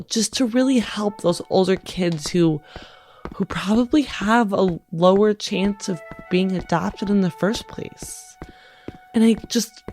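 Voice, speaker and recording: A woman's voice: medium pitch, a little mellow. A woman playing a sugary, eager wife hoping to adopt, coaxing and gushing, in a tabletop game. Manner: gushing, coaxing, hesitant pauses.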